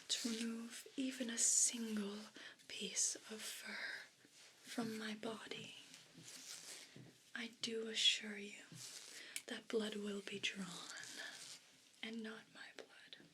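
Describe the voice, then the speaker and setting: A woman voicing a vain, pompous evil house cat in a breathy whisper for an ASMR role play. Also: fairly high pitch, fairly bright tone, slight background noise. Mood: menacing, haughty. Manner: pompous, deliberate, formal wording.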